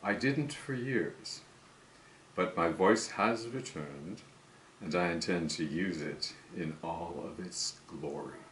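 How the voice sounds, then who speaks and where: An older man talking slowly and self-assuredly to camera about recording cover songs, rambling and musing as he goes. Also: deep voice, fairly bright tone, slight background noise, rough recording. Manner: slow, musing, self-assured, breaks into song. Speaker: home singer recording covers. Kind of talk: rambling solo monologue to camera.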